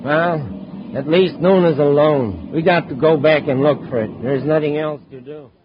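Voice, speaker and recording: An actor playing a stern, irritable scheming space outlaw, bossy and no-nonsense, in a 1950s radio serial. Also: male voice, a little high, moderate pace, close to an everyday voice. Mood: angry, alarmed. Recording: muffled 1950s radio, heavy background noise.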